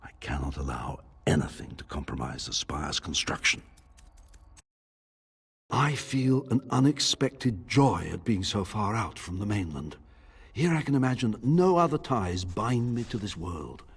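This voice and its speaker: A male voice actor playing a grieving, obsessive lord slipping into tyranny, reading his diary aloud in a husky, breathy voice with brooding, theatrical delivery. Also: fairly low pitch, very animated, full character voice. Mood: cold, calculating, then wistful, entranced. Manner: brooding, theatrical, deliberate phrasing.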